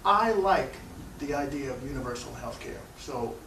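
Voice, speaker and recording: A man's voice: medium pitch, animated, normal speed, fairly bright tone. A man hosting an informal local television interview, talking to the audience and his guest in plain unscripted speech. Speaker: TV interview host. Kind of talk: informal public-access interview. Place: room recording for a cable access show. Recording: echoey room, distant mic, faint noise.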